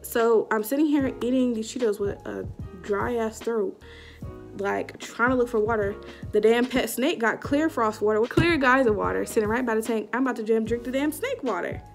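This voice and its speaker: A young woman telling a story to camera in a casual vlog, animated and slangy, acting out what people said, recorded in a small room on a camera at arm's length. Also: on the high side, normal speed, vocal fry, soft background music. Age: young adult. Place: small indoor room before a curtain. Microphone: webcam or phone at arm's length.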